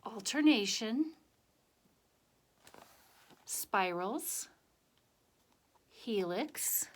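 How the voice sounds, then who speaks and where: Expressive woman reading a children's story, higher-pitched. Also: drawn-out words. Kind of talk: read-aloud children's picture book narration with asides.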